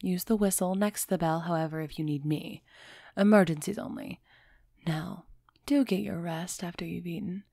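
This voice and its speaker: A woman playing an aloof, commanding yet teasing female vampire in an intimate ASMR roleplay audio drama, speaking in a measured, softly commanding way. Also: fairly low pitch, steady tone, gravelly, no room sound. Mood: intrigued, possessive.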